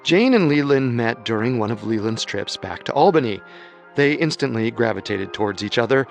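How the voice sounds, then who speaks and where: A man narrating a true-crime radio drama in a steady, storytelling manner. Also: medium pitch, normal speed, vocal fry, faint music behind. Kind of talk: scripted documentary narration.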